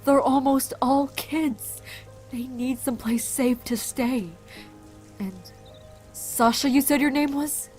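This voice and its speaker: A woman playing a brisk, practical doctor among apocalypse survivors in an audio drama. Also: high voice, darkish tone, soft background music. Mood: urgent, practical. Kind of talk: fan audio drama dub acting.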